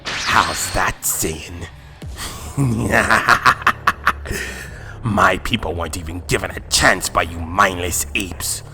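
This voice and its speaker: A man's voice: low-pitched, very slow, long pauses, sharp tone, faint music behind, heavily acted. A man playing a sadistic alien villain in an anime fan drama, taunting and theatrical with menace. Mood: menacing, gloating. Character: sadistic alien villain with vengeful grudge, possessing a father's body. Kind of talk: anime fan-fiction voice acting.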